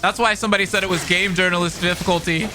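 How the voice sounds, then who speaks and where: A man's voice: sits high, fairly even intonation, very fast. A man reacting casually to a game as he plays it live, in short, repeated exclamations. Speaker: streamer playing and reacting to a game. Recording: voice over loud game music. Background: game music and character voices.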